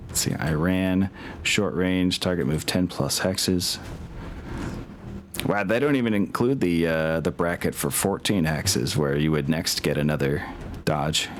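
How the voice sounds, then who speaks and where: A man's voice: deep voice, slight background noise. A man acting as game master of a tabletop wargame, talking casually and thinking aloud as he tallies rolls, with music behind him.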